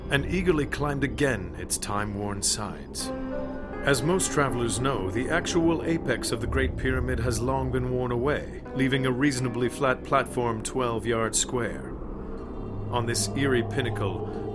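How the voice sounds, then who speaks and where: Man as measured radio drama narrator over music. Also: medium pitch, close to an everyday voice. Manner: literary, measured storytelling. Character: storyteller narrator of an eerie adventure tale. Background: prominent music.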